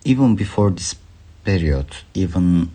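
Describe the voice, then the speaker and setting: A man from Turkey with a Turkish accent giving testimony as a guest, speaking haltingly in short phrases. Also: sits low, expressive, mellow, breathy edge, dry recording. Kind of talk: spoken testimony in English.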